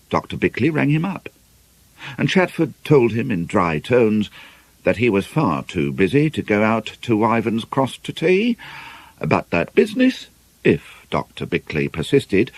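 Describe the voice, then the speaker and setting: A man narrating a novel as an audiobook, reading in a measured, expressive way with deliberate emphasis. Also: fairly low pitch, dry recording.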